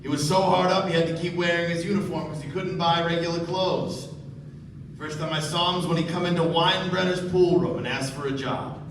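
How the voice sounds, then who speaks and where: Guy acting as slippery old gangster boss, self-important, highish voice, echoey stage radio play. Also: fairly even intonation, a little fast, lightly acted. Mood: boastful. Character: slippery, self-important older male underworld businessman. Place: live stage performance. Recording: echoey distant stage, background noise.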